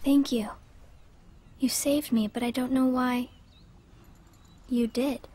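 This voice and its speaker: A woman playing a troubled, gentle teenage girl in a video game cutscene, speaking earnestly and hesitantly. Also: high voice, fairly even intonation, slow, slight background noise. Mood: earnest, hopeful. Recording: music behind.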